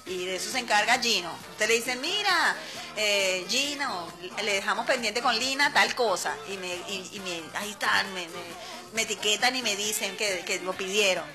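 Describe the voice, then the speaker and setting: A woman's voice: mid-range voice, normal speed, music in the background. A woman with a Venezuelan accent hosting a radio show, chatting casually and playfully, laughing often as she talks to her listeners. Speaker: radio host. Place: radio studio.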